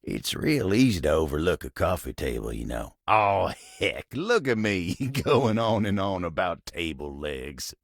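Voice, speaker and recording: Gravelly, low-voiced Southern cowboy table. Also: male voice, sing-song, unhurried, hardly any pauses, no room sound, clean studio sound, exaggerated voice. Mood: chatty, modest. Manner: folksy drawl, rambling, polite. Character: folksy, bashful, old-fashioned cowboy table, easygoing and chatty. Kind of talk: video game character dialogue.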